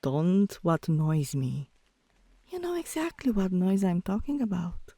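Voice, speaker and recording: A woman voicing a teasing, softly dominant tomboy girlfriend in an intimate ASMR roleplay, with a low voice that turns breathy at times and a coaxing, commanding manner. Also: very animated, a little slow, dry recording, full character voice.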